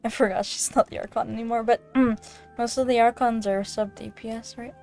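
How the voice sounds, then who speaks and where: A woman's voice: fairly high pitch, fairly even intonation, a little fast, a little mellow, faint music behind. A woman chatting casually and in a giggly, rambling way while streaming a video game. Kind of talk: casual gameplay chat.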